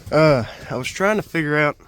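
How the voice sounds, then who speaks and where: A man's voice: fairly high pitch, animated, brisk, a bit bright, slight background noise. A man chatting casually and unscripted to the camera as a DIY vlogger, in a rambling, folksy, colloquial way. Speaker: vlogger, DIY truck project host.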